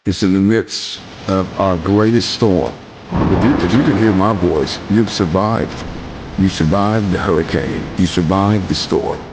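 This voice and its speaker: A man giving a motivational speech in emphatic, deliberate phrases with rhetorical repetition. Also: deep voice, noisy background. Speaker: motivational speaker.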